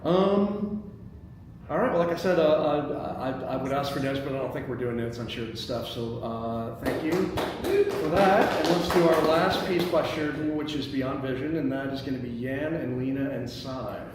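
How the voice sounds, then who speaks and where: A man's voice: mid-range voice, normal speed. Man hosting table read, very echoey room. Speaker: host and stage-direction reader. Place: indoor meeting space. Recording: very echoey room, distant mic, background noise.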